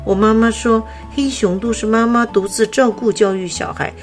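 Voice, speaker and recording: A woman's voice: a little high, steady tone, normal speed, faint music behind, voice pushed up, lightly acted. Woman playing a young male bear cub, childlike, eager, anxious, storybook. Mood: sad. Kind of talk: storybook character voice.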